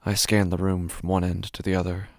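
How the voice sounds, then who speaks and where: A man playing a frightened, sleepless narrator of his own waking nightmare in a horror podcast, halting and intense, repeating his phrases. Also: low-pitched, little pitch movement, no room sound, studio quality. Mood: dazed, uneasy. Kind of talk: horror fiction podcast, dramatic first-person monologue.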